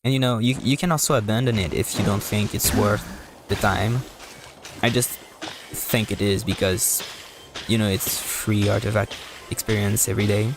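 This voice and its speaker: A man giving casual, halting and rambling live commentary as a video game streamer, often repeating words. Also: lowish voice, steady tone, creaky, noisy background.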